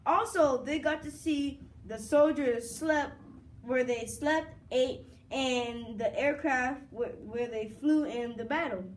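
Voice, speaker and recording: A woman's voice: high-pitched, takes it slowly, slight background noise. A young girl anchoring a school news broadcast, reading her script aloud.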